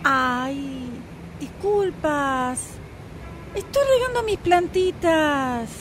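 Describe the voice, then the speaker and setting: A woman's voice: high voice, animated, very slow, music in the background, exaggerated voice, low-quality recording. A woman with an Argentine accent playing an oblivious, teasing upstairs neighbour in an amateur radio play, cutesy and needling. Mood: placating. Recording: amateur workshop recording with background noise.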